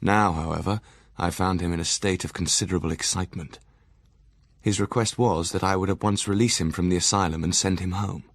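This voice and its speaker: An actor playing a stern, measured asylum doctor narrating his diary in an audio drama. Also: low-pitched, fairly even intonation, dry recording. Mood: measured, grave.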